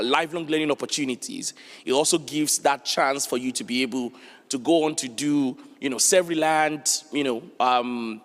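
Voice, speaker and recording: A man's voice: fairly high pitch, fairly bright tone. Man speaking in a reverberant hall. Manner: earnest, with frequent "you know" fillers. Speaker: panel speaker from a non-profit. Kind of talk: formal panel talk. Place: large conference hall.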